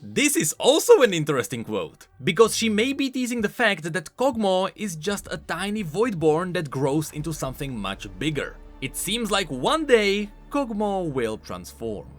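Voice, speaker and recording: A man with a fairly high voice delivers game lore commentary over faint music. His delivery is informal and emphatic, a scripted explainer loosened by casual asides. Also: sing-song, moderate pace, few pauses. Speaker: video essay host, game lore commentator. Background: background music.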